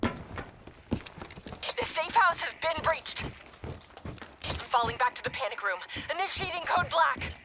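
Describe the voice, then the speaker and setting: A woman's voice: high-pitched, expressive, slow, pauses often. A woman playing an urgent, professional intelligence agent under attack in a video game. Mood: urgent alarm. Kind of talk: video game cutscene acting. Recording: gunfire and clear noise behind.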